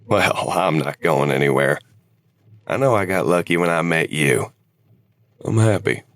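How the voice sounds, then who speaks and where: Man acting gravelly older husband, very low voice. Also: lively intonation, unhurried, a bit bright, full character voice. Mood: subdued, tender. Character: weary older husband, war veteran, regretful but devoted. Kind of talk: audio drama dialogue.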